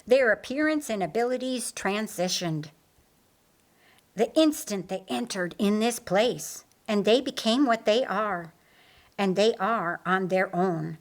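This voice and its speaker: A woman's voice: mid-range voice, lively intonation, unhurried. Lady playing a loyal archangel boss in an audiobook, firm n earnest, takes his time. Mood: grave, explanatory. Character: Michael, authoritative loyal male archangel commander. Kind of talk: audiobook character acting.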